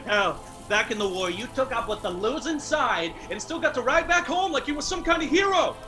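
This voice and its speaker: An actor playing a fierce, proud younger feuding mountain man in a radio drama, with a Southern Appalachian accent and forceful rural speech. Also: extremely high pitch, big pitch swings, a little fast, music in the background. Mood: bitter defiance.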